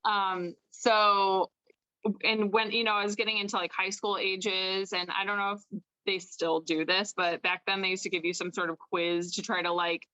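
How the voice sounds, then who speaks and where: A woman in her thirties with a Chicago accent, chatting casually as an interview guest, full of "like" and "um" and quick to laugh. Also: mid-range voice, flat delivery, bright, forceful tone, smooth, no echo, muffled recording. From Chicago suburbs. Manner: chatty, lots of "like" and "um", laughs easily. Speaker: guest, makeup artist. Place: home with kids nearby.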